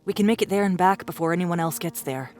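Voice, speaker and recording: A woman's voice: lowish voice, fast, a little mellow, soft background music, close to an everyday voice. An actress playing a tall, bold, decisive woman survivor in an audiobook drama, with a blunt, assertive manner. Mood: bold, urgent.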